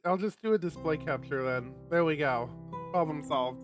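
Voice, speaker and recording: A man livestreaming a visual novel, mixing expressive, sweary casual chat with reading the narration aloud. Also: a little high, normal speed, faint music behind.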